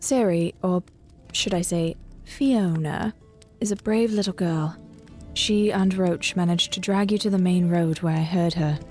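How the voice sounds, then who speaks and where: A woman playing a sharp-tongued but caring young female bard in an audio drama, wry and matter-of-fact. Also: lowish voice, fairly even intonation, unhurried, soft background music, lightly acted. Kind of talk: fan audio drama acting.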